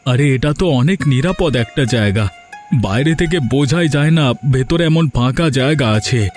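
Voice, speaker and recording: A man's voice: mid-range voice, lively intonation, normal speed, faint music behind, close to an everyday voice. A man voicing a humble, hopeful poor village father in a story cartoon, earnest and deferential. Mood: reassuring. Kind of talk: Bengali moral story cartoon dubbing.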